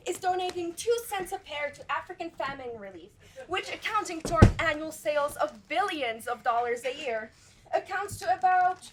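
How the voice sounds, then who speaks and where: A smooth-talking, pompous casting agent, played by a teenage girl on a school stage. Her voice sits high, with faint noise in the background. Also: fairly bright tone, rough recording. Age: teens. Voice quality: pompous, salesy. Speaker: student actor. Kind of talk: school stage play acting.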